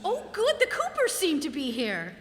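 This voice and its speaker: A woman playing a very high-voiced anxious hostess. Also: wildly swooping pitch, moderate pace, a little hiss or hum. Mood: polite. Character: anxious society hostess smoothing things over. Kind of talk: old-time radio comedy acting. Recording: echoey, reverberant hall.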